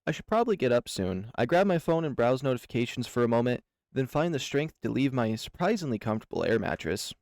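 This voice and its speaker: A man who hosts a channel, reading a visual novel aloud in a slow, measured, dreamy way. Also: mid-range voice, sing-song, a little mellow, no echo, studio quality. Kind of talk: dramatic reading of game text.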